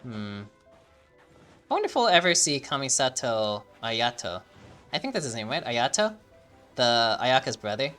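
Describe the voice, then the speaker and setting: A woman's voice: rumbling low, big pitch swings, moderate pace, pauses often, fairly bright tone, faint music behind. A woman giving casual gameplay commentary, reacting loosely with mumbles and swearing. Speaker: game streamer.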